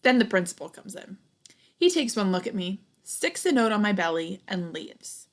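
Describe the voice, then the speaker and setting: A young adult woman reading a children's picture book aloud expressively, pausing to put questions and comments to young listeners. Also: medium pitch, very animated. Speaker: storybook reader, teacher.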